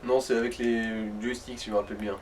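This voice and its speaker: A man's voice: medium pitch, flat delivery, fast, a little mellow. A man giving casual gaming commentary, explaining things and guiding the player. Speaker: let's play co-commentator. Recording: distant mic, faint noise.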